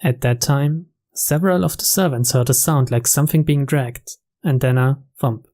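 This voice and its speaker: A man's voice: mid-range voice, normal speed, warm tone. A man reading visual novel narration aloud for a game playthrough. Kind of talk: scripted narration read aloud.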